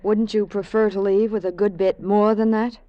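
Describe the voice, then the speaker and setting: An actress playing a cool, scheming femme fatale with a poised, insinuating delivery in a 1940s radio drama. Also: female voice, medium pitch, fairly even intonation, normal speed, muffled recording, lightly acted. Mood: cool, enticing.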